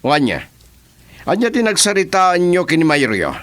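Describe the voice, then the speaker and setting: A man acting a scheming, mocking factory boss in an Ilocano radio drama, with a drawn-out, taunting delivery and an Ilocano accent. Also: sits high, wildly swooping pitch, a bit bright, exaggerated voice. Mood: scornful, scheming.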